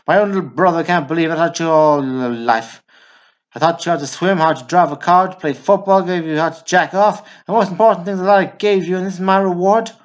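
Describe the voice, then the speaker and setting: A man's voice: high-pitched, a little fast, highs cut off, close to an everyday voice. A male actor playing an indignant, profane older brother and war veteran in a radio play. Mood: outraged. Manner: profane, blustering, preachy.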